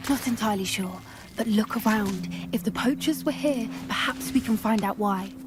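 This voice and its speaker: An actress playing a cautious, observant young witch in a video game, earnest and measured. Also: medium pitch, noisy background, close to an everyday voice. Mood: puzzled. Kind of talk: video game cinematic acting.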